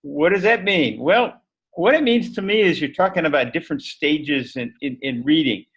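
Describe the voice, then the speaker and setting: A man's voice: fairly high pitch, normal speed, a bit bright, husky, muffled recording. A man teacher giving a thoughtful, explanatory answer in an online discussion over a video call.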